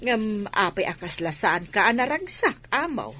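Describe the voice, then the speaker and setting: Casting: actress as mocking gossip from the fruit warehouse, bright forceful voice, mid pitch. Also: female voice, very animated, a little slow, exaggerated voice. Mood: nosy. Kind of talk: dialogue in an Ilocano audio drama.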